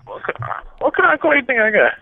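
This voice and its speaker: A man's voice: very high voice, huge pitch swings, quick pace, dry recording. A man in a casual phone conversation, answering as a seller in a terse, blunt, slangy way over a thin phone line. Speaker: car seller answering a call. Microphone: phone call. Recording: tinny narrow phone line.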